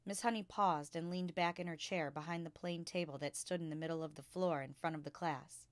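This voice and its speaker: A woman reading a children's book aloud as a storyteller, in a measured and carefully expressive way. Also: low-pitched, no room sound.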